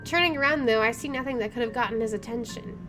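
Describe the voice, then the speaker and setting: A woman's voice: fairly high pitch, fast. A woman hosting a let's play, reading story narration aloud and adding casual asides. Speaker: gaming video host. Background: game music.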